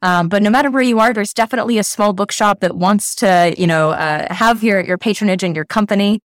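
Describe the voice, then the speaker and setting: A woman's voice: medium pitch, fairly quick, no echo, clean studio sound. A woman author talking enthusiastically in an interview, with many fillers. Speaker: author guest. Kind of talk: casual interview chat.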